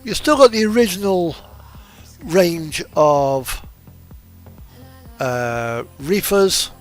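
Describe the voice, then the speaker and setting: A man's voice: on the high side, animated, extremely slow. A man giving casual, rambling gameplay commentary, hesitant and thinking aloud as he goes. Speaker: host of a truck simulator gaming video. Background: music from an in-game radio.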